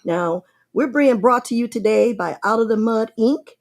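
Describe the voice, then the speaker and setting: A woman's voice: normal speed, vocal fry. A woman hosting a casual talk show, chatty and upbeat, encouraging listeners to call in. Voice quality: describes her own voice as deep. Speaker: show host. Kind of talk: casual radio-style talk show chat.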